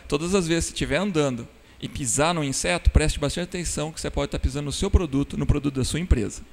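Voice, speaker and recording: A man's voice: fairly high pitch, fast talker, creaky. A man from São answers conversationally as an interview guest. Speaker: guest, technology company entrepreneur. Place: glass studio inside a shopping mall. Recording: long reverb of a hall-like room.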